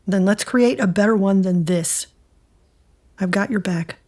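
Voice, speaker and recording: A woman playing a guarded, sharp-tongued character in an audio drama, with a pointed, challenging manner. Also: medium pitch, unhurried, lightly acted. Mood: wary, resolute.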